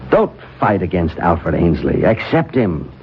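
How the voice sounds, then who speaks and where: An actor playing an earnest, insistent doctor in a radio drama, persuasive and urgent in manner. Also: male voice, low voice, big pitch swings, a little slow, a little hiss or hum, exaggerated voice. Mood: urgent, coaxing. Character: earnest, insistent male doctor pleading his case. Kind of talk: 1970s radio drama acting. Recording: muffled old radio broadcast, music behind.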